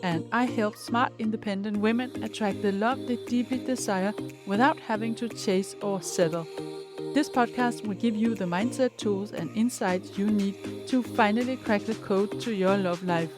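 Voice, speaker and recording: A woman's voice: mid-range voice, moderate pace, music in the background. A woman in her fifties from Denmark with a Danish accent, hosting her podcast as a life coach and speaking straight to the listener in an upbeat, encouraging way. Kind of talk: scripted podcast monologue.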